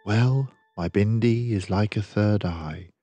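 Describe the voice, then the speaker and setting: Slow-paced older man reading to children. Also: on the low side, warm tone, no echo. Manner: measured, deliberate, rhyming delivery. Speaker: storyteller reading a children's picture book. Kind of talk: expressive scripted read-aloud for children.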